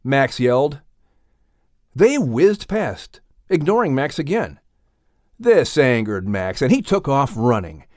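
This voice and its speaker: Male storyteller for children's audiobook: mid-range voice, very animated delivery, dry recording. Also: mid-range voice, slow, dry recording. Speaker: audiobook narrator. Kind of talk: expressive scripted children's story narration.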